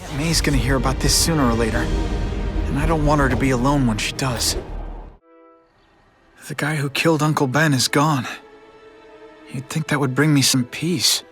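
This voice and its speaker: A man's voice: mid-range voice, fairly even intonation, moderate pace, long pauses, over music, close to an everyday voice. An actor playing an earnest, wry young man, a superhero in a video game cutscene. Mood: grim, resolved. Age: young adult.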